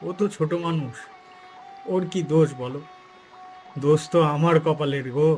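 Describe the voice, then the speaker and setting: Man voicing a plaintive high-pitched poor village shopkeeper. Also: moderate pace, a little mellow, faint music behind, lightly acted. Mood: sorrowful, tender. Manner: plaintive, emotional, repeats words. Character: poor, humble, anxious village shopkeeper and loving father. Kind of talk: melodramatic cartoon voice acting.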